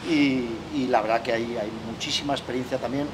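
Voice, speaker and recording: A middle-aged man interviews a guest in an outdoor courtyard, filmed from a distance. His voice is mid-pitched and conversational, and he keeps checking in with tag questions. Steady outdoor noise is clearly audible behind him. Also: brisk. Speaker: interviewer, club host. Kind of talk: casual interview. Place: outdoor courtyard with artificial grass.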